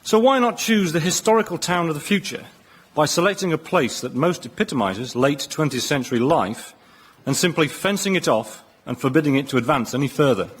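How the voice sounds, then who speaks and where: A man, a guest on a comedy show pitching his idea and answering questions. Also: animated, fairly quick, slight background noise.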